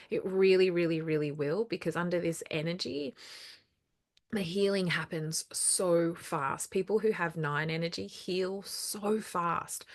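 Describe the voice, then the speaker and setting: A woman with a somewhat low-pitched voice talks straight to her viewers, casual but earnest. She leans on repeated words to drive points home and sounds reassuring. A slight lingering cough from recent throat trouble stays with her. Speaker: numerology channel host. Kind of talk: casual solo talk to camera.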